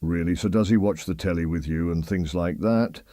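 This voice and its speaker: A man's voice: deep voice, moderate pace, dark tone, no room sound, lightly acted. A man acting a genial late-night radio phone-in host with a British accent, chatty and lightly bemused.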